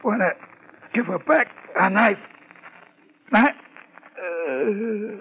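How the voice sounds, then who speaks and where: An actor playing a desperate, dying gangland punk, gasping and struggling to speak, in 1950s radio crime drama. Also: male voice, high voice, animated, drawn-out words, exaggerated voice. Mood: strained, desperate. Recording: muffled 1950s radio recording.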